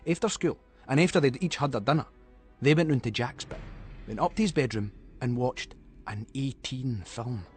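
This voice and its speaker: A man with a Scottish accent giving a dramatic, suspenseful narration of a story in colloquial Scots dialect. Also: medium pitch.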